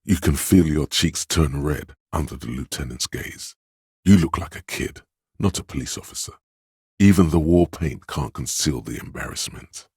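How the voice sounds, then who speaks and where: An actor playing a stern, commanding inner voice of authority in a video game, speaking in a grave, imperious, theatrical way. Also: male voice, a bass voice, darkish tone, slightly rough, no room sound, clean studio sound, heavily acted. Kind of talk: dramatic scripted game narration.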